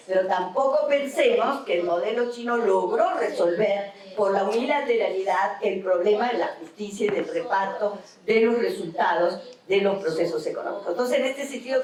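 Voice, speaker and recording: A middle-aged woman with a Mexican accent, an academic panelist giving a measured, explanatory seminar talk with tag questions. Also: fairly high pitch, a little mellow. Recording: very echoey hall from far off.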